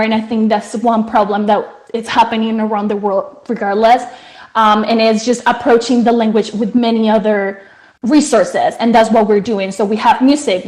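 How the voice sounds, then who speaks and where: A woman's voice: on the high side, a little mellow. A woman from Colombia whose first language is Spanish, earnestly explaining her startup on a video call, with many fillers and restarts. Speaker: startup founder pitching.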